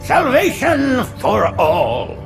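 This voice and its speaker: An actor playing a pious, fervent old alien prophet in a video game, grandiose and sermonizing. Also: male voice, very high-pitched, wildly swooping pitch, takes it slowly, gravelly, over music, exaggerated voice. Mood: fervent, reverent. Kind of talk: video game cutscene acting.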